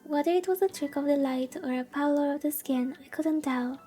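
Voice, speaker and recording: A woman's voice: high-pitched, fairly quick, warm tone, faint music behind. A woman streamer reading a visual novel aloud in a casual livestream style, informal and given to playfully exasperated commentary.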